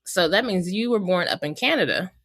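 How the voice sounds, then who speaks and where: A woman's voice: on the low side, animated, a little fast, a bit bright, no room sound, clean studio sound. A woman hosting a podcast interview, chatty and upbeat, laughing easily as she talks with her guest. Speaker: podcast host. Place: Bay Area.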